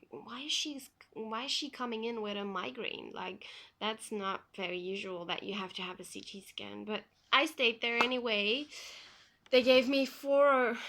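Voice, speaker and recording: A young woman from Belgium, a non-native English speaker, calmly retelling a personal story for a vlog with frequent pauses and ums. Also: fairly high pitch. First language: not English. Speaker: vlogger.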